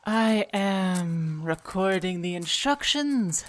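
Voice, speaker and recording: A woman's voice: lowish voice, expressive, slow pace. A woman playing a cold, clinical bandit poisoner in an audio drama, detached and confident in manner. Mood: cold, assured.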